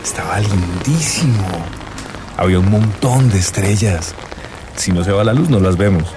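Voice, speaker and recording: A man's voice: lowish voice, moderate pace, music in the background, close to an everyday voice. An actor playing an earnest young man in love, searching and telling his story in a radio drama. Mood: wistful, earnest.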